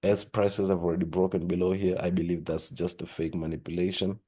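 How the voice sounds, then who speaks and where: A man hosting a trading lesson, talking steadily through charts with a hoarse voice and frequent throat-clearing. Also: sits low, a little fast, slight background noise. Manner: steady explanatory, repeats "with that being said". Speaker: forex trading channel host. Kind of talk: screen-share trading lesson.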